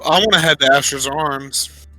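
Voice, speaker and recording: A man's voice: fairly high pitch, moderate pace, sharp tone, soft background music, poor audio. A man playing a tabletop roleplaying game, bantering casually in a blunt, cheeky way.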